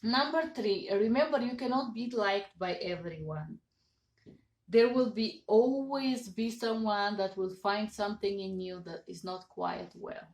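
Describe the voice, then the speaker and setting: A woman's voice: mid-range voice, unhurried. A woman in her thirties with a Bulgarian accent, earnestly giving business advice to a webcam and stressing each numbered point. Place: home office with certificates on wall. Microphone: webcam at desk. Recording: echoey room.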